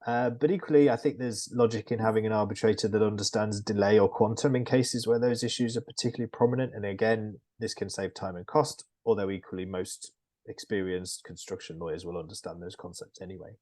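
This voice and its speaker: A man on a webinar panel who explains points in a measured way, pausing often with ums. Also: on the low side, steady tone, darkish tone. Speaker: construction lawyer panelist.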